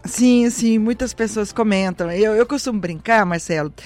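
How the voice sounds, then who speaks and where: A Brazilian woman in her sixties, a veteran teacher, speaking warmly and with conviction as a radio interview guest. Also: medium pitch, normal speed, soft background music. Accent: Brazilian Portuguese. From central-west Brazil. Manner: warm, emphatic, speaks with conviction. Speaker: retired teacher and former councilwoman.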